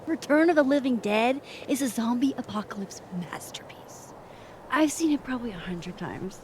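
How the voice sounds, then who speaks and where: A woman's voice: fairly high pitch, expressive, moderate pace, darkish tone, a little hiss or hum, lightly acted. A woman playing a wry, playful young woman in a naturalistic video game, casual and teasing. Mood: wry, enthusiastic.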